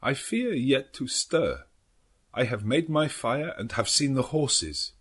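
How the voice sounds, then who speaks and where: A male narrator plays an elderly professor who hunts vampires in a gothic audiobook. His husky voice is solemn and anguished. He speaks slowly and dramatically, in halting foreign phrases. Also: mid-range voice, expressive, a bit bright, heavily acted. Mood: grave resolve.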